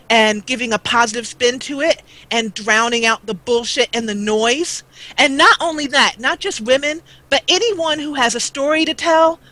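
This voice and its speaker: A middle-aged American woman talking earnestly on a live show, halting and repeating words as she goes. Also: fairly high pitch, bright, forceful tone, no room sound, rough recording. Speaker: guest, gaming video creator. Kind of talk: live talk show conversation.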